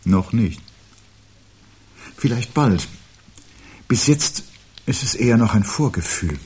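An actor in a German radio play from the 1970s, playing a brooding man full of dread who speaks in measured, portentous riddles. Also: mid-range voice, animated, darkish tone, slightly rough, heavily acted. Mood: uneasy, hesitant. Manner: measured, portentous, cryptic, given to pathos. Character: brooding man haunted by dread of humanity's end.

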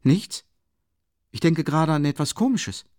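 A man's voice: on the high side, expressive, a little slow, darkish tone, pitched above the performer's own voice. A man voicing an agitated, feverishly chatty young man in a dramatic audiobook reading, abrupt and insistent. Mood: evasive.

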